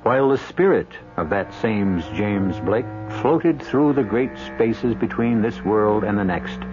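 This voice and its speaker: A man's voice: on the low side, animated, measured pace. A man playing the solemn, brooding host of radio mystery tales, narrating in a grave, portentous, theatrical manner. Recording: dull old radio broadcast under loud music.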